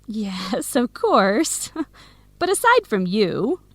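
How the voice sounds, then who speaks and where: A woman's voice: on the high side, very animated, extremely slow, dry recording, heavily acted. An actress playing an earnest, playful young woman with coffee shop dreams in an educational radio drama. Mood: playful, confiding.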